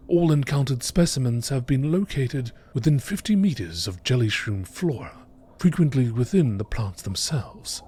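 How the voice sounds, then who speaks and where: A man giving a measured, informative scripted narration in the style of a nature documentary. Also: medium pitch, darkish tone, slight background noise. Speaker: reader of video game text.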